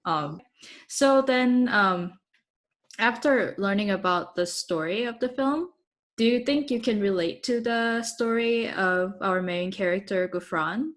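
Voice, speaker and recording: A woman hosts a casual interview. Her voice sits at an average pitch, and she speaks in a conversational, thoughtful manner as she puts questions and adds her own comments. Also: unhurried. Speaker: interviewer, film producer.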